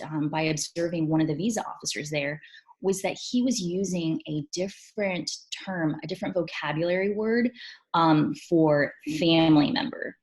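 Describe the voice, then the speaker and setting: A woman whose first language is Mandarin Chinese speaks in a low voice, explaining things carefully as an interview guest. She talks in a measured way, often pausing on "um" and "you know". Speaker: immigration attorney and former consular officer. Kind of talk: interview answers.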